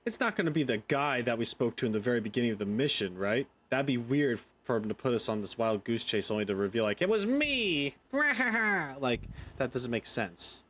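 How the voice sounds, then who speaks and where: A man's voice: medium pitch, lively intonation, moderate pace, hardly any pauses, a bit bright. A man giving casual, informal gameplay commentary as a streamer, reacting aloud to the story. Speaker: game streamer. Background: game music.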